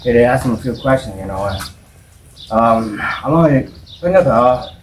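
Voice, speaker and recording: A man's voice: medium pitch, expressive, normal speed. Casual male interviewer, distant and noisy recording. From United States. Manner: informal, says "you know" and "man" often. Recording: distant mic, loud vehicle ambience.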